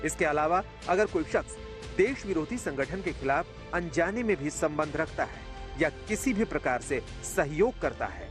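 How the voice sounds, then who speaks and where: A man's voice: a little high, lively intonation, normal speed. A man narrating a scripted television news report as a voice-over. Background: prominent background music.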